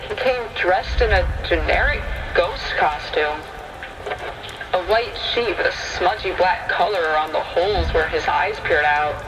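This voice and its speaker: Male horror storyteller, high voice, unhurried delivery, clearly noisy old-time radio backdrop. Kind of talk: scripted first-person horror narration. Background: rain and thunder ambience.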